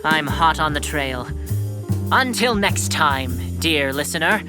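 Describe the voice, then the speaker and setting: A woman's voice: low voice, expressive, slow. A woman playing a schoolboy detective, dramatic and exasperated, in a scripted audio drama with music behind. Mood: dramatic, self-important. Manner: melodramatic, self-important narrator. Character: schoolboy detective, dramatic, exasperated son narrating his case.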